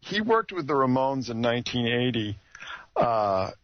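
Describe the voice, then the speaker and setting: A man in an unscripted radio discussion, explaining things in a measured, hesitant way. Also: medium pitch, sing-song. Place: internet talk radio show with caller. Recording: dull lo-fi internet radio call audio.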